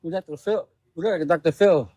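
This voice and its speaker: A man's voice: fairly high pitch, fairly quick, dark tone, dry recording. A man from Thailand being questioned, answering briefly and informally.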